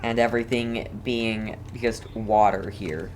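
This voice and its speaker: A video game streamer giving casual live commentary and chatting with viewers, halting and often repeating words. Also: medium pitch, steady tone, slight background noise.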